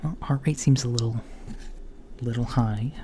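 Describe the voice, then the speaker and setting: A man playing a mild, puzzled alien doctor in a soft, breathy, often whispered ASMR roleplay, with hesitant, gently deadpan murmuring. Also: medium pitch, moderate pace, lightly acted. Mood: calm, attentive. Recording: lo-fi home recording, faint background noise.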